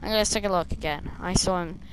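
A young woman, slightly hoarse and losing her voice, chatting and rambling as she plays a stealth game at her desk, talking to the enemies on screen. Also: on the low side, quick pace, rough recording. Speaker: gameplay streamer. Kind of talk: casual gameplay commentary. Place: small room indoors, seated at a desk.

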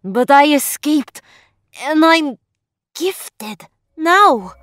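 A woman's voice: high voice, animated, extremely slow, fairly bright tone, no echo, clean studio sound, exaggerated voice. A woman playing a teenage girl returned from hell in an audio drama, speaking earnestly and with emotion.

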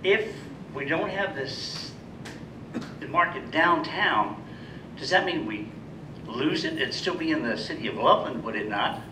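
A man's voice: lively intonation, a little slow. An American man in his sixties making an informal public comment as a citizen at a meeting. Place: indoor council meeting room. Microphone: gooseneck podium microphone. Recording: echoey room, distant mic, noisy rumble.

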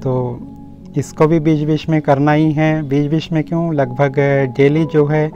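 A man's voice: on the high side, little pitch movement, a little slow, warm tone. A man gives a casual, explanatory garden tour, addressing viewers in a friendly, direct way. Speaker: host of a home gardening channel. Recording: slightly muffled audio with music underneath. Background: instrumental music.

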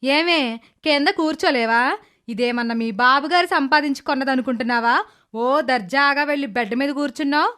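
High-voiced, scornful, scheming stepmother acted by a woman. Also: normal speed, fairly bright tone. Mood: scornful, bossy. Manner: scornful, sarcastic, scolding. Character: cruel, scheming, resentful middle-aged stepmother. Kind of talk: animated moral story character dialogue.

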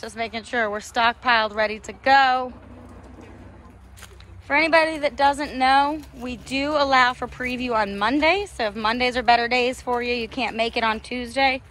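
A woman's voice: a little high, fairly bright tone. A woman hosting a casual auction preview as she walks around outdoors, listing items in upbeat, folksy sales patter. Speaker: auction house host presenting a sale preview. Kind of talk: casual promotional walkthrough commentary. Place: outdoors in an open auction yard.

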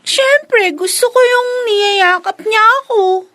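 A woman's voice: extremely high pitch, slow, fairly bright tone, heavily acted. A woman playing a sweet, wistful young girl in a Filipino radio drama, childlike and plaintive. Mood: wistful, earnest.

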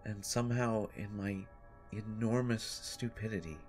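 Slow, low-pitched haunted man acted out. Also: fairly even intonation, lightly acted. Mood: uneasy, hushed. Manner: halting, deliberate phrasing with long pauses. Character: haunted, sleepless, exasperated brother recounting a horror tale. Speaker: audio drama storyteller. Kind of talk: dramatic first-person horror monologue. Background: music.

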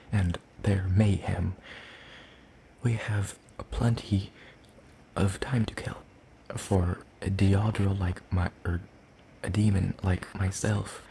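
A man playing a lanky, ancient, world-weary demon in a soft ASMR roleplay, speaking in a hesitant, breathy murmur with formal wording. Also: lowish voice, a little hiss or hum, poor audio.

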